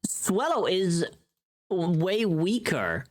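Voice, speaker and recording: A livestream host chatting casually with viewers in an animated, informal, sweary way. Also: medium pitch, drawn-out words.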